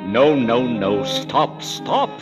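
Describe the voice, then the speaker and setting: A man's voice: medium pitch, very animated, extremely slow. An actor playing an exasperated, sardonic but affectionate older father and music teacher in 1930s radio drama style. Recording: old radio recording with long reverb.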